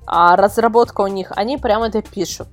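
A woman's voice: medium pitch, brisk, faint music behind. A woman speaking as a guest reviewer in a casual podcast discussion, emphatic and repeating words for stress. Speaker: guest, product reviewer and website editor.

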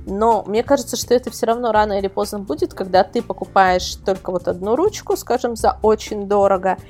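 A woman's voice: mid-range voice, brisk, darkish tone, soft background music. A woman speaking as an interview guest, explaining things in an informal, rambling way with emphatic repetition. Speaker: guest, website editor and product reviewer.

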